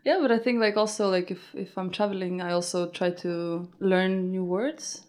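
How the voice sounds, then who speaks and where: Giggly soft-spoken woman from Lithuania. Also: on the low side, steady tone, normal speed. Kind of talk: casual conversation.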